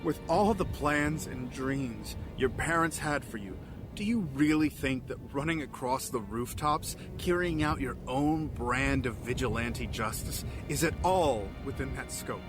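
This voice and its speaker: An actor playing an embittered, obsessive villain in an audio drama, speaking in a grandiloquent, theatrical way. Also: male voice, mid-range voice, expressive, measured pace, over music, lightly acted. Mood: bitter, pleading.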